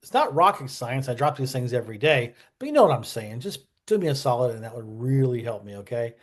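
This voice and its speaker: Male history vlogger, mid-pitched, conversational and opinionated, colloquial phrasing with plenty of digressions. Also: sing-song, fairly quick. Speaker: history vlog host. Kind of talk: casual, conversational history commentary.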